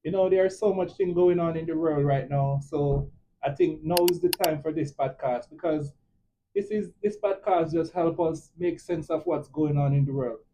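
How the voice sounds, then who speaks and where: A young man with a Jamaican accent hosting a casual podcast chat, animated and quick to cut in. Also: fairly high pitch, slight background noise, low-quality recording. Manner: animated, often cuts in. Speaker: podcast host and nurse.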